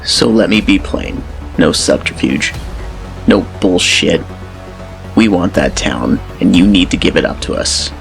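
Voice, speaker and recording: Tobias, a man voicing a gravelly menacing enforcer. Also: deep voice, lively intonation, soft background music, full character voice. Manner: slow, measured threats with dark relish. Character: menacing male corporate enforcer, calm and cold. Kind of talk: audio drama acting.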